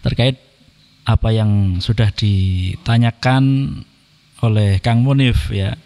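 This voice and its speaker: A man, speaking as a podcast guest in a polite, measured way, with frequent "eh" and "ya" fillers. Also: lowish voice, steady tone, warm tone, big-room sound. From Indonesia. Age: 30s. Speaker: podcast guest, Quran reciter. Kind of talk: casual podcast conversation.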